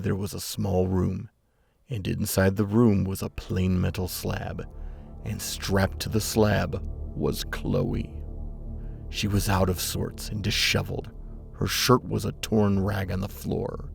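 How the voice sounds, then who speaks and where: A man narrates a dark drama with a low, very gravelly voice. He goes slowly and gravely, weighing each phrase, with music playing underneath. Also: fairly even intonation. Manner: slow, grave, deliberate. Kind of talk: scripted dramatic story narration.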